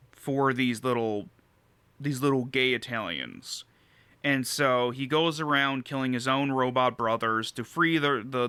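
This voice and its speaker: A man giving casual, unscripted game commentary as a reviewer, rambling and halting as he thinks aloud and swearing casually. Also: medium pitch, sharp tone, clear, resonant tone, no echo. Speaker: video game reviewer and streamer.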